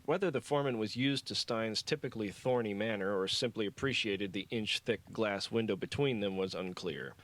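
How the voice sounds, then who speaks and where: A man's voice: fairly low pitch, brisk, few pauses, fairly bright tone. A man reading fiction aloud as an audiobook narrator.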